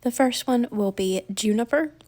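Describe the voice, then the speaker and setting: A woman's voice: a little high, normal speed, darkish tone. A woman narrating a casual craft tutorial in a chatty, folksy way, her voice slightly crackly from feeling under the weather.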